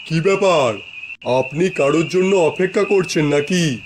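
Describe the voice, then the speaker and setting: A man's voice: on the high side, moderate pace, noisy background, close to an everyday voice. A man acting a helpful railway station master in a horror cartoon story, polite, practical and conversational. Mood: inquiring, matter-of-fact.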